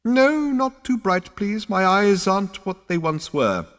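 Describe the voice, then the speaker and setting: A man voicing a tiny, ancient two-headed male ghost who snaps and scolds in a rasping, screechy voice. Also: sits high, sing-song, a little slow, a bit bright, long reverb tail, voice pushed up, full character voice. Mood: querulous. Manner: snappish, disdainful scolding.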